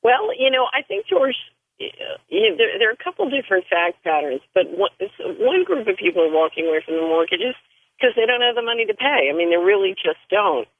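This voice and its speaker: A woman's voice: medium pitch, big pitch swings, fairly quick. Woman explaining over a tinny phone line. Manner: thoughtful, explaining with restarts. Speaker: radio guest. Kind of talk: phone-in interview.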